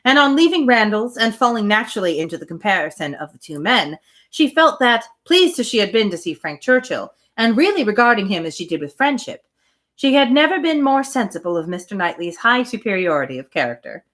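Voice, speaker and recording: A woman reading a classic novel aloud as host of a reading series, expressive and breaking into casual asides. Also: medium pitch, a little fast. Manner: expressive, animated storytelling. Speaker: reader hosting a read-aloud series.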